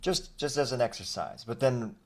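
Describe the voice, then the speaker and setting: A man in his forties, raised in Thailand, speaking as an actor guest in a conversational interview over a video call, reflective and halting with many fillers. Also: medium pitch, lively intonation, gravelly.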